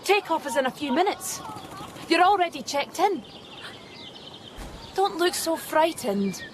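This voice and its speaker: Alien child replica, high-pitched, coolly menacing, unnervingly calm, radio drama, noisy ambience. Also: female voice, measured pace, heavily acted. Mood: persuasive, calm.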